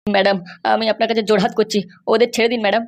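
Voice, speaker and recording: Performer as anxious young woman, very rapid speech, mid pitch, pleading, insistent, cartoon comedy. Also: little pitch movement, close to an everyday voice. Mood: begging. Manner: pleading, insistent, halting starts. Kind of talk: amateur cartoon comedy dialogue.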